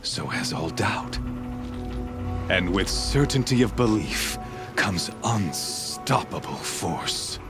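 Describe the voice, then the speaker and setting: A very low-voiced zealot warrior in a video game. He preaches slowly and portentously, with grandiose certainty and breathy menace. Loud music plays under his words. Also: very animated, exaggerated voice. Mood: fervent menace. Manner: slow, portentous, theatrical preaching. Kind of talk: dramatic video game villain monologue.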